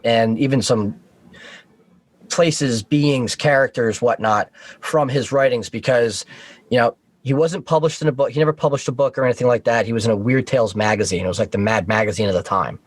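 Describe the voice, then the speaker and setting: A man's voice: medium pitch, no room sound. A man chatting casually as a podcast guest over a video call, explaining things in an informal, geeky way.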